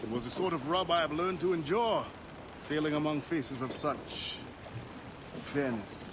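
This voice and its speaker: Casting: male actor as dignified, measured sailor for a pirate game, slightly high voice, noisy deck ambience. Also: lightly acted. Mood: guarded, wry. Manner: measured, formal wording. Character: proud, measured Caribbean former-slave sailor turned pirate quartermaster. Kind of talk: cinematic video game acting.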